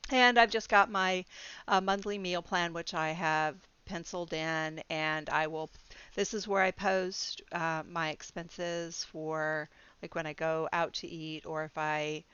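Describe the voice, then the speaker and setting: Chatty middle-aged woman, smooth and low. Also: fairly bright tone, dull audio. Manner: rambling, chatty, frequent ums and restarts; laughs easily. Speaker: budgeting vlogger. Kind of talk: casual talk to camera.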